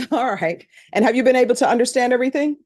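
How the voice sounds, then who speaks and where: A woman's voice: on the high side, fast, darkish tone, dry recording, studio quality. A middle-aged woman from Louisiana with a Louisiana accent, a judge speaking to a jury panel in a courtroom in a plain, conversational storytelling manner.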